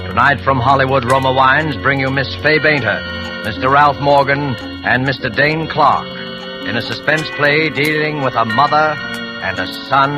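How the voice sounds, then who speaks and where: A man giving a formal, dramatic 1940s radio introduction with suspenseful pauses. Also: mid-range voice. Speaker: program host and announcer. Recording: vintage radio, music behind.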